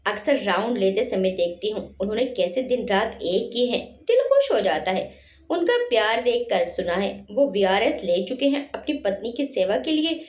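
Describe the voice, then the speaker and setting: A woman voicing a young newlywed woman doctor who teases gently in a playful way. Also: mid-range voice, big pitch swings, moderate pace, natural voice. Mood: teasing, admiring. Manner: light, playful teasing. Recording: roomy echo.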